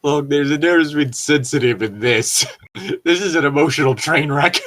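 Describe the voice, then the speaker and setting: A man's voice: fairly high pitch, a little fast, a bit bright. A man hosting a casual fanfiction reading, commenting with incredulous, sweary asides. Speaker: host.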